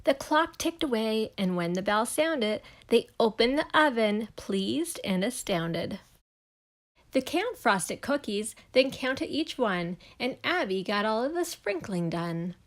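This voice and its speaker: Slow-paced woman reading a children's storybook. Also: fairly high pitch. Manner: expressive, unhurried, measured rhyming delivery. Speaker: storybook reader. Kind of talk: children's read-aloud storytelling in verse.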